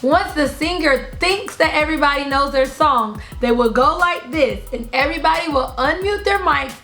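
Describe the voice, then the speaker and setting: High-voiced woman hosting in an echoey room. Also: lively intonation, normal speed, fairly bright tone. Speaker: online video host. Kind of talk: upbeat explainer of party games to camera. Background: music in the background.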